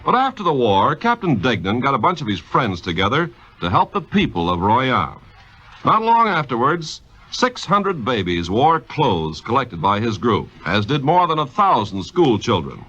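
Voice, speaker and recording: Male 1950s radio announcer: formal, emphatic, crisp forward tone, lively inflection, scripted read. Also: medium pitch, moderate pace. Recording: muffled old radio recording.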